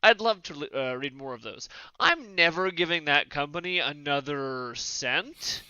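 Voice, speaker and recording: A man's voice: a little high, expressive, measured pace, pushed and bright, clear, resonant tone, no echo, dull audio. A man on a casual podcast panel, speaking in a blunt, opinionated way.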